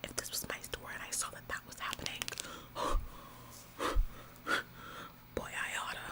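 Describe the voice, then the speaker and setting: A woman's voice: slow pace, close to an everyday voice. A woman in a whispered ASMR roleplay, playing a bossy, officious store employee who repeats phrases for emphasis. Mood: self-important. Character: bossy, overzealous female retail store employee, falsely apologetic. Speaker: ASMR performer.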